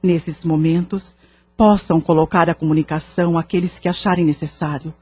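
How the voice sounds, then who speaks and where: A woman playing a calm, authoritative leader of a spiritist group in an audio drama, measured and gently firm. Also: fairly low pitch, slightly rough, no room sound. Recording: dull lo-fi audio. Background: background music.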